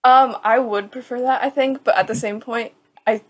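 A woman's voice: fairly high pitch, steady tone, moderate pace, breathy edge. A young American woman chatting casually about studying abroad, with lots of "like" fillers and a laugh now and then. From United States. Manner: chatty, frequent "like" fillers, laughs while talking. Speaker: student. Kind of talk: casual chat.

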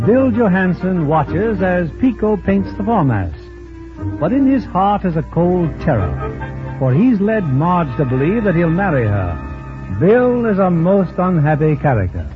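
A man narrates as host in formal 1940s radio style, his pitch slightly high and very varied. The recording is muffled. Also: big pitch swings, unhurried, music in the background.

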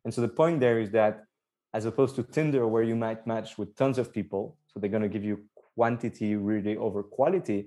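A man's voice: on the low side, fairly even intonation, brisk, dark tone. Chatty young man from Belgium. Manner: upbeat, explains at length with fillers. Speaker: startup co-founder guest. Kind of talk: casual podcast interview.

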